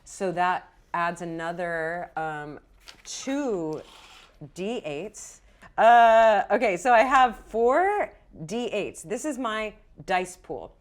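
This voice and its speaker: A woman's voice: medium pitch, animated, slow. A woman acting as game master, explaining rules and narrating a tabletop roleplaying game in a casual, improvised way.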